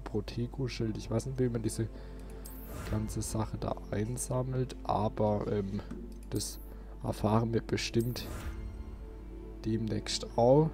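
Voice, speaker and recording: A man's voice: medium pitch, dark tone. A man giving casual gameplay commentary as a let's play host.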